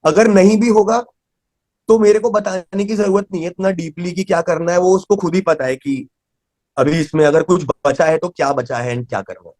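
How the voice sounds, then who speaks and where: An Indian man chats casually as a guest in an interview. His voice sits a little high and he talks very rapidly, hopping between Hindi and English. The sound is dry and close, with no room on it. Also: steady tone, a little mellow, dry recording. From Delhi, India.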